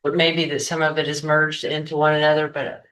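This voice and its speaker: Guy lawyer in court, bright flat voice, rambles and hedges a lot. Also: on the high side, a little fast, poor audio. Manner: rambling, hedging with fillers. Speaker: attorney. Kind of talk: courtroom statement.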